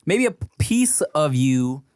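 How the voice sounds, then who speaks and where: A man's voice: on the high side, very animated, slow, darkish tone, no echo, studio quality. A man who grew up in the United States, hosting a casual podcast chat in a laid-back, reflective way and swearing casually. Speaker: podcast host.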